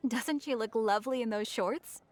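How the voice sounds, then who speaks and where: A woman's voice: on the high side, moderate pace, darkish tone, a little hiss or hum, natural voice. A woman voicing a friendly, chatty souvenir shopkeeper in a video game, with a chirpy sales patter. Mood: helpful, musing. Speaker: shopkeeper character.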